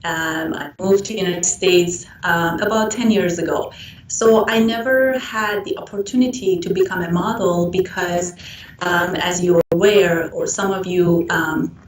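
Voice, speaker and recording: A woman from Iran with a Persian accent and Persian as her first language, answering interview questions in a halting way with frequent pauses. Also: on the low side, fairly even intonation. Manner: halting, pausing often between phrases. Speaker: interviewed model. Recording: roomy, distant mic with background noise.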